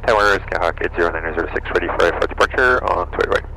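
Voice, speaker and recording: A man with a low, bright voice runs through flight checklists as the pilot of a small plane. He speaks in short, clipped phrases, thinking aloud, with a gravelly fry and faint cockpit noise behind him. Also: fast. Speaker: private pilot briefing and flying a small plane. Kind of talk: procedural cockpit briefing and checklist talk. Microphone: aviation headset.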